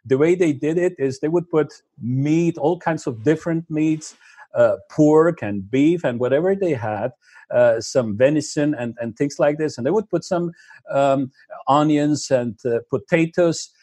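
Man, podcast guest, husky, hesitant, dry close sound. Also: a little high, a little slow, warm tone, no room sound. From Slovenia. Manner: hesitant, many fillers and restarts. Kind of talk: casual interview chat.

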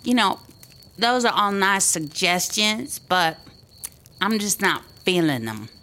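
A woman's voice: medium pitch, animated, slow pace, bright, forceful tone. A woman playing a snarky, stubborn oddball sidekick in a comedic audio drama. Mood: unimpressed.

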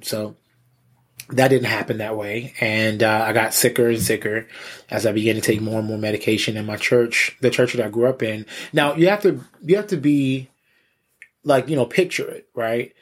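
A man with a husky voice, a pastor and interview guest, giving candid personal testimony in a halting, conversational way with repeated words. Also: fairly low pitch, steady tone. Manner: halting, repeats words, frequent "you know".

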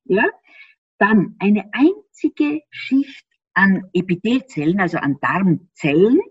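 Austrian woman, interview guest, husky, lively pitch, dull dry recording. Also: slow, no echo. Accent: Austrian German. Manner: animated, laughs often, explains with rhetorical questions. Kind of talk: explanatory interview talk. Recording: slightly dull dry sound.

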